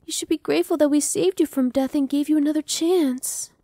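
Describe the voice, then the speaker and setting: A woman voicing a cheerful, newly turned young female vampire in an ASMR roleplay, speaking softly and reassuringly, sometimes dropping to a whisper. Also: high-pitched, a little fast, no echo, clean studio sound. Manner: soft, reassuring, playful, drops to whispers. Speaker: voice actress.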